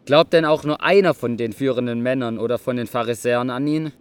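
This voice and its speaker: A man's voice: mid-range voice, a little fast, clean tone, close to an everyday voice. A man playing a stern, skeptical religious authority in an audio drama, questioning and challenging.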